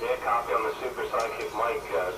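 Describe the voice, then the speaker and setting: A man's voice: medium pitch, steady tone, fast talker. A man chatting casually over two-way radio as host of an on-air roundup. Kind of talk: casual ham and CB radio chat. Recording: noisy, echoey CB radio transmission, distant.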